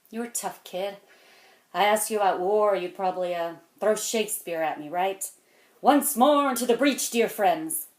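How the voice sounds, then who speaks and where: A woman performing a dramatic monologue as a weary, wounded, stern mentor, speaking deliberately and intensely, moving between hushed and forceful. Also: mid-range voice, close to an everyday voice. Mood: hushed, pointed, then mocking. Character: weary, wounded, stern mentor confronting a cocky young genius. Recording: echoey room.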